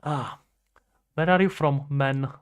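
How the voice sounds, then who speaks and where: A man's voice: mid-range voice, slow pace, smooth. A man, chatting casually on a livestream as he works and thinking aloud to himself and his viewers. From Czech Republic. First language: Czech. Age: 20s. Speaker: streamer, indie game developer. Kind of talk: casual livestream chat while working. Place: indoors at a computer.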